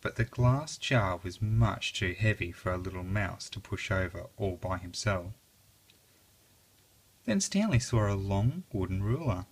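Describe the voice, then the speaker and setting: A reader narrating a children's story aloud in an expressive, dramatic way. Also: deep voice, measured pace.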